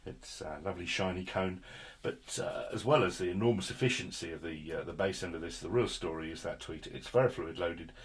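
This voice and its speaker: A man's voice: sits low, brisk, few pauses, husky. A British man presenting a casual hands-on product review in a chatty, jokey, effusive way with quaint turns of phrase. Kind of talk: casual hands-on unboxing commentary.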